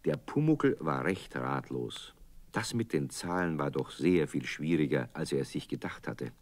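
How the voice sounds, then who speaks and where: A man narrating a children's radio play as an expressive, scripted storyteller. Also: very deep voice, normal speed, no echo, heavily acted.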